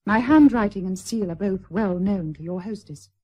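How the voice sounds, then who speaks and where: Husky, mellow British noblewoman, proud and wounded actress. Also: mid-range voice, close to an everyday voice. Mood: guarded, earnest. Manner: formal, measured, hesitant. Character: proud, wounded, nervous estranged wife, an aristocratic aunt. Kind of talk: period radio drama acting.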